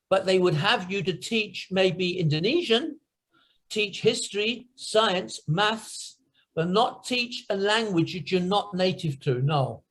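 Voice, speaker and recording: A man teaching English learners in an online class, explaining patiently, listing examples and checking understanding over a video call. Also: high-pitched. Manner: patient, repeats and lists points, checks understanding with "right?".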